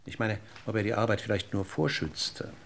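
Casting: radio-drama inspector, low creaky voice, dry, courteous, needling. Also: male voice, a bit bright, slight background noise. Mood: politely insistent. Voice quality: gravelly, husky. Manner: formally polite, deliberate, needling questions. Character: probing, courteous male police inspector, dryly persistent. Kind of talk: German radio crime drama acting.

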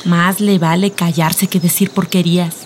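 An actress playing a judgmental, pious village gossip in a radio drama, with a disapproving manner and a Mexican accent. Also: female voice, lowish voice, little pitch movement, moderate pace, slight background noise, lightly acted. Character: sanctimonious, judgmental village comadre.

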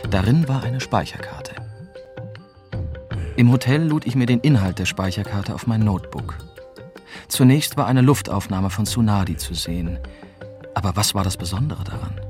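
A low male voice from an actor plays a young reporter telling his story in a radio drama. The narration is scripted and first-person, with clear music underneath. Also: close to an everyday voice. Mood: measured. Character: young male journalist recounting an adventure. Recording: music behind the voice.

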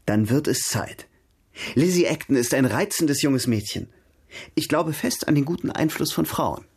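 Eloquent young gentleman, period radio drama. Teasing, polite, highish husky voice. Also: expressive, moderate pace, no room sound. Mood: polite, persuasive. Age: young adult. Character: charming, eloquent young gentleman, lively and playful. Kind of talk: radio drama dialogue, period literary adaptation.

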